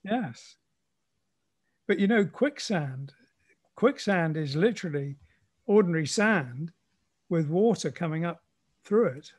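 A man hosting a casual conversation in a measured, reflective manner. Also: on the high side, animated. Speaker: conversation host.

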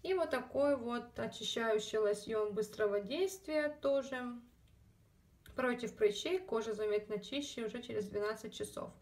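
A woman's voice: on the high side, moderate pace, darkish tone, far from the mic. A woman vlogger chatting casually about her shopping, full of fillers and asides to the viewers, her throat a little scratchy. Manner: chatty, many fillers, addresses viewers as girls. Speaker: beauty vlogger. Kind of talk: casual haul video chat.

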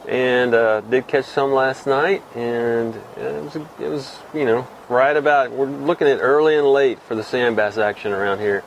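A man's voice: mid-range voice, fairly even intonation, moderate pace, creaky, hardly any pauses, a little hiss or hum, rough recording. A middle-aged man from Texas hosting a casual fishing report to camera outdoors, rambling with many fillers and restarts. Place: outdoors in front of a cluttered shed wall.

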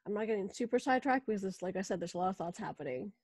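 Woman, podcast host, chatty, casual interview, filler-heavy, mid pitch, dry close sound. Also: expressive, very fast, a little mellow, no echo.

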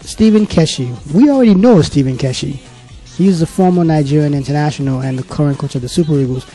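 Man, sports interviewer, friendly conversational, mellow tone, soft music underneath. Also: a little high, quick pace. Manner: friendly, conversational, hesitant fillers. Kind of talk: casual sports interview questions.

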